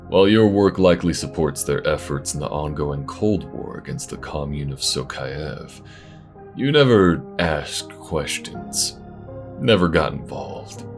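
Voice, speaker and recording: Extremely deep male story narrator. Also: lively intonation, measured pace, faint music behind. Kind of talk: scripted dramatic narration.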